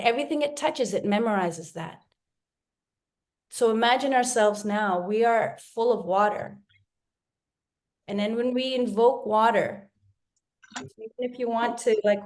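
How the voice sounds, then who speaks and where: A woman whose first language is Tagalog, leading an online spiritual gathering in a slow, soft, reflective way, with pauses and restarts, heard over a video call. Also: medium pitch. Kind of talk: guided meditation talk.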